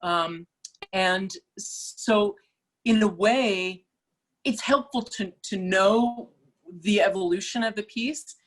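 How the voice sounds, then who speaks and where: A middle-aged woman opera singer, speaking as a guest in a relaxed interview, thoughtful and full of small restarts and fillers. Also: medium pitch, slow, low-quality recording. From Malaysia. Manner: thoughtful, many restarts and fillers, laughs easily. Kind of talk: casual interview chat.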